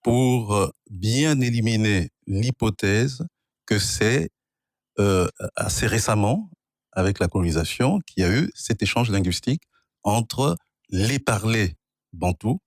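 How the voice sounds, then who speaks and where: Un homme d'origine angolaise, de langue maternelle kikongo, qui explique la linguistique d'un ton posé et professoral. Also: male voice, lowish voice, no room sound, clean studio sound. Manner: measured, professorial, spells out words. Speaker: guest, linguist and author. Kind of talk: explanatory interview answers.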